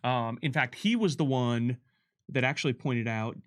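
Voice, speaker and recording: A man's voice: medium pitch, moderate pace. A man giving casual, unscripted commentary as a game developer, often starting a sentence over as he explains things.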